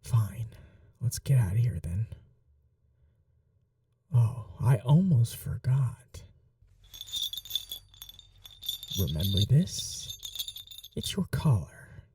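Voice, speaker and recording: Woman acting a dark, whispery vampire mistress. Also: rumbling low, big pitch swings, far from the mic, full character voice. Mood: teasing. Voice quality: breathy, often whispering. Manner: teasing, coaxing, unhurried. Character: cruel, doting vampire mistress toying with her captive. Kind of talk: intimate ASMR roleplay.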